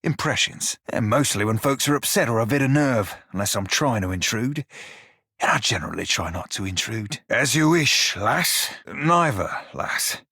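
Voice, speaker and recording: A man playing a roguish, good-hearted creature in a video game, talking in salty dialect with a rough, husky voice. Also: mid-range voice, animated, normal speed, pushed and bright, dry recording, studio quality, exaggerated voice. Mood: playful, cheeky. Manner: salty pirate dialect, colloquial. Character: roguish blue-furred psychic pirate, flirty, salty, good-hearted. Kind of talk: video game character dialogue.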